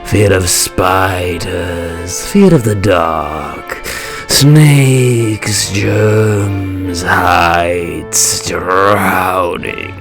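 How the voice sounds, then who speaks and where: A man voicing a sadistic, theatrical supervillain obsessed with fear, gravelly and menacing, in a comic dub. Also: low-pitched, fairly bright tone, faint music behind, heavily acted. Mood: sinister relish. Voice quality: gravelly, creaky. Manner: slow, menacing, theatrical, savouring words. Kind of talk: comic dub villain monologue. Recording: orchestral score behind voice.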